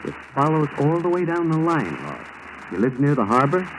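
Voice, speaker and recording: A man's voice: a little high, very animated, moderate pace. An American actor playing a determined male FBI agent in a 1940s radio drama, talking in short, direct phrases. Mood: eager, intent. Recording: noisy old 1940s radio recording.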